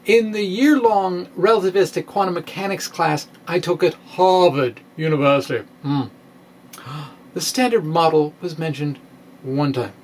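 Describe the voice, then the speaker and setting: A middle-aged man giving a dramatic reading of a science blog in a wry, theatrical manner with pointed pauses, close to a microphone in a small room. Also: a little high, a little mellow, a little hiss or hum. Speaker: science blogger and presenter. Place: small indoor room with bookshelves. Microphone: microphone on a stand, close in front.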